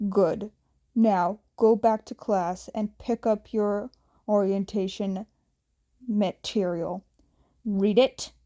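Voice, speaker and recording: A woman voicing a tired, gruff male teacher who speaks curtly in a fan audiobook reading. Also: fairly even intonation, takes it slowly, mellow, close to an everyday voice. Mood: stern. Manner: curt, low-key.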